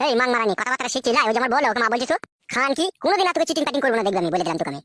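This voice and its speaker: A performer voicing an exasperated, crude village husband in a comedy cartoon, a pitched-up, sped-up voice and a blustering, coaxing manner. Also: sing-song, racing, bright, forceful tone, no room sound. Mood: angry. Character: exasperated, crude village husband caught between two wives.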